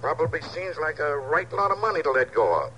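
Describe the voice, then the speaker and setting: An old radio recording with faint hiss, and an ingratiating male con artist with a drawl. His voice is bright and very animated, full of folksy false friendliness in 1950s radio drama style. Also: a little high, brisk. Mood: falsely sympathetic. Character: smooth-talking folksy swindler feigning kindly friendship.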